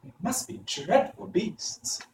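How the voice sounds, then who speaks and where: A man acts a worried young storybook girl. The voice is lifted high and soft, with an earnest manner, in an echoing room a little away from the mic. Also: sing-song, slow, creaky, far from the mic, a little hiss or hum, exaggerated voice.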